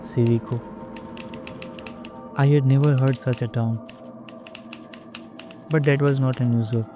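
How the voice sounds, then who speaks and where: A man acts out an uneasy night manager in an audio drama, narrating in a dark, level voice. His delivery is measured and brooding, with music underneath. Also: mid-range voice, fairly even intonation, natural voice. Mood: reflective. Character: uneasy, watchful night manager of a newswire office.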